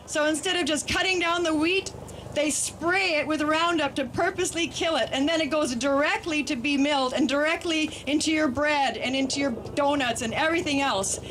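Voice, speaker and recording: A high-voiced woman speaks to a public gathering as an activist. She is emphatic and repeats words for stress. The recording is a noisy outdoor event with vehicle sound behind her. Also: moderate pace, a bit bright. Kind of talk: public rally speech.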